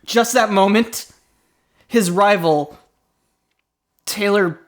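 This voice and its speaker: A podcast host doing improvised comedy banter, halting and self-correcting while making it up on the spot. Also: mid-range voice.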